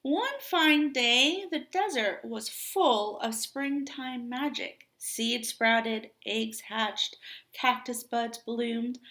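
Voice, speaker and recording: A woman reading a children's story aloud like a teacher, with deliberate, expressive delivery. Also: a little high.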